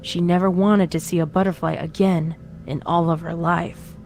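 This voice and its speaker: A woman's voice: fairly low pitch, flat delivery, normal speed, a little mellow. A woman reading a horror story as its narrator, in a dramatic scripted style. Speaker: fan fiction narrator. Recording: music behind the reading.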